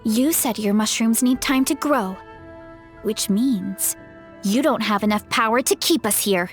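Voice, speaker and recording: A woman's voice: on the high side, unhurried, natural voice. A woman playing an earnest, mild young heroine in a video game, speaking straightforwardly. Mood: resolute. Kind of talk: animated video game acting. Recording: faint game music behind.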